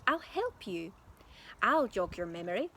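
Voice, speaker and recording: A woman voicing an excitable, bossy, impatient father in a children's storybook, full of urgent exclamations. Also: mid-range voice, a little slow, slight background noise. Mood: eager. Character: excitable impatient father, adult man, booming with enthusiasm.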